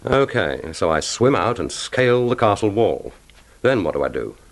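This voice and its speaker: An actor playing a cool, wry, brooding secret agent with a dry, understated manner in a radio drama. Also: male voice, lowish voice, very animated, normal speed, creaky, no echo. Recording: loud orchestral film score behind voice.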